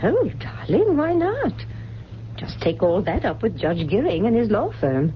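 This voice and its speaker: An actress playing an affectionate, wealthy American mother of a grown son in a 1970s radio drama, gently coaxing. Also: mid-range voice, very animated, a little slow. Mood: mild, advising. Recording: dull, noisy old radio broadcast with hum.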